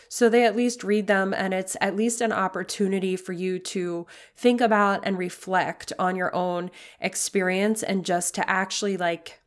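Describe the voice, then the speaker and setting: A woman hosting her own podcast, a little raspy from a cold, talking earnestly and encouragingly with frequent ums. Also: medium pitch. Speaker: podcast host. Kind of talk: casual solo podcast talk.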